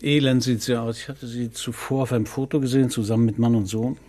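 An actor in a radio play playing a calm, courteous middle-aged police commissioner who speaks in a measured, probing way. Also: male voice, medium pitch, natural voice. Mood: reflective. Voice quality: gravelly, husky.

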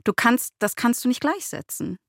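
A woman's voice: fairly high pitch, expressive, normal speed, vocal fry, no room sound. A woman co-hosting a podcast, debating in a casual but firm and insistent way. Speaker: podcast co-host and radio play author.

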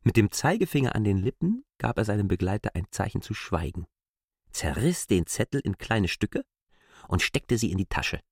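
A man reads literary prose as an expressive storyteller. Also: fairly low pitch, normal speed, breathy edge, dry recording, clean studio sound. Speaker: narrator of a literary classic. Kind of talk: scripted literary narration.

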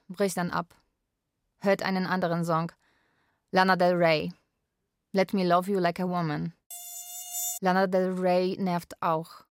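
A woman's voice: fairly low pitch, dry recording, clean studio sound, close to an everyday voice. A woman narrating a scripted radio drama in terse, short sentences. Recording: faint music behind the voice.

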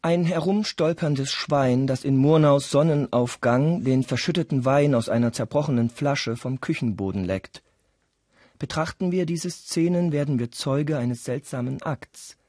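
A man narrating a radio play, reading scripted absurd stories in a deadpan storytelling manner. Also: mid-range voice, a little slow, no room sound, clean studio sound.

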